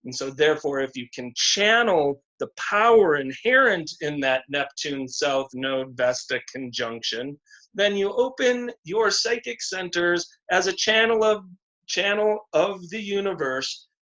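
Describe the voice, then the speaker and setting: A man giving a slow, deliberate, encouraging astrology reading on a video call. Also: sits high, a bit bright. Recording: dull lo-fi video call audio.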